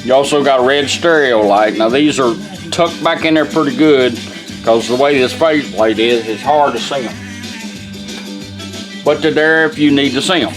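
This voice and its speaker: A man gives an informal demonstration as a restorer showing off his work. His manner is folksy and unhurried, with a medium-pitched voice. Guitar music plays behind him. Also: poor audio. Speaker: radio restorer demonstrating a product. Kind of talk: casual product demonstration.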